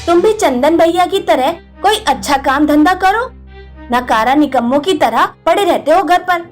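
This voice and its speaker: A woman's voice: very high voice, animated, unhurried, faint music behind, voice pushed up. A woman voicing an envious, nagging village neighbour in a storybook tale. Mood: angry.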